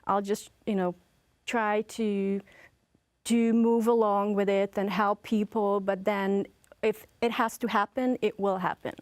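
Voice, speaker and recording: A woman's voice: medium pitch, flat delivery, a little slow, darkish tone, no room sound. A woman from Hungary with a Hungarian accent, speaking as a guest in a casual interview, hesitant with many fillers and restarts.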